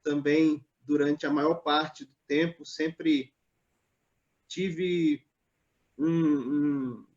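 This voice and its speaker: A man from Northeast Brazil, a pastor, telling his life story in a live online interview in a measured, hesitant way, with many pauses and fillers. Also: fairly high pitch, steady tone, a little mellow, muffled recording. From Natal, Northeast Brazil.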